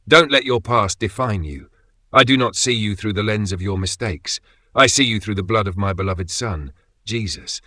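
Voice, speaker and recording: Man, devotional message, tender, intimate, hushed endings, dry sound. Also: lowish voice, dry recording. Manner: gentle, tender and intimate, dropping to near whispers. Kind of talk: scripted devotional message spoken as God.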